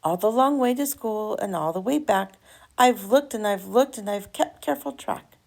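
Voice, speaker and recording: A woman reading a rhyming children's picture book aloud with animated, dramatic emphasis and drawn-out words. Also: mid-range voice, gravelly.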